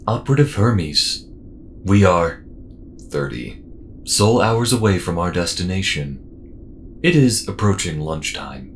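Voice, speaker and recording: A man plays an unflappable shipboard assistant in a sci-fi audio drama. He speaks very slowly and formally, with wide swings in pitch, over clearly noisy ambience. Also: full character voice. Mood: calm, helpful. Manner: measured, formal, procedural. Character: polite, formal, unflappable male ship computer assistant. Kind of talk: audio roleplay science fiction acting.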